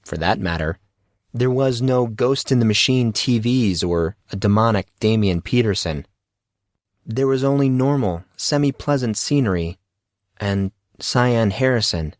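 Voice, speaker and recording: A man narrating scripted fiction as an audiobook, in a measured, dramatic storytelling manner. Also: medium pitch, lively intonation, dry recording, studio quality.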